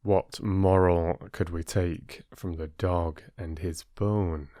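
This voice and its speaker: A man working as a storyteller and host, speaking in an expressive, deliberate audiobook style. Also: low-pitched, no room sound, studio quality. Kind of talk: expressive audiobook narration and listener address.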